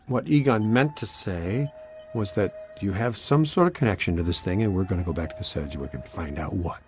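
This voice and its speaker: A man's voice: sits low, normal speed, a little mellow, faint music behind. A man voicing a cocky, flirtatious ghost-hunting scientist in a video game, glib and sardonic. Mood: glib, assured. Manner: sardonic, glib, laid-back. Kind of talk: video game cutscene acting.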